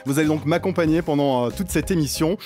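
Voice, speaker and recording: A man's voice: on the high side, quick pace, darkish tone, soft background music. A man hosting a podcast, opening the show like an announcer. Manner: announcer-like presentation. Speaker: podcast host.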